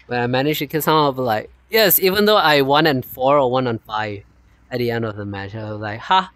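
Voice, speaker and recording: A man hosting a casual chat stream in an animated, rambling way with frequent laughs and a non-native accent. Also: medium pitch, a little fast, no echo. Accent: non-native, unnamed. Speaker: streamer host.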